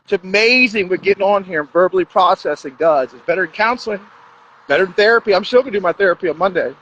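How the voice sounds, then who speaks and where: Crude, rambling high-voiced man. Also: fast talker, a bit bright. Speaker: podcast host. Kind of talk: profane rambling monologue. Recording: dull lo-fi sound.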